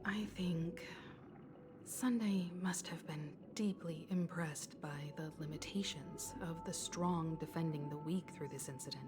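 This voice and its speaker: A woman voicing a composed, thoughtful female companion in a video game, speaking in a measured, reflective way. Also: low voice. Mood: composed, pondering. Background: game music.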